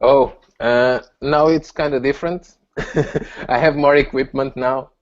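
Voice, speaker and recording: Portuguese-accented man, halting speech. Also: mid-range voice, steady tone, lo-fi sound. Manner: halting, searching for words, laughing often. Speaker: guest reviewer. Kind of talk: casual chat on a video call.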